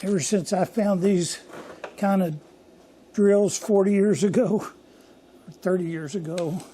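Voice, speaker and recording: An older man hosting a casual workshop vlog from his home machine shop, talking in a hoarse, rambling, folksy way. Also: high-pitched, steady tone, measured pace, dark tone, a little hiss or hum. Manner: rambling, folksy and self-deprecating. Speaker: workshop channel host.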